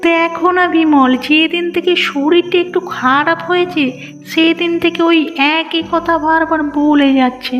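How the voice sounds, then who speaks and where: Very high-voiced village sister-in-law. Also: female voice, steady tone, normal speed. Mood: caring. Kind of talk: cartoon moral-story dialogue. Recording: dull reverberant track, music behind.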